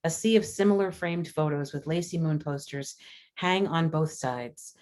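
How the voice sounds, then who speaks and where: A woman with a low-pitched voice serves as narrator for a script read-through. She delivers scene headings and stage directions as scripted narration. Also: moderate pace, a little mellow.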